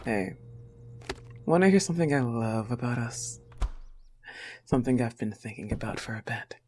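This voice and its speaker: A man voicing a possessive but tender young goth wolf girlfriend, soft-spoken, in an intimate audio roleplay. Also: mid-range voice, lively intonation, moderate pace, a little hiss or hum, close to an everyday voice. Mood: tender, reflective.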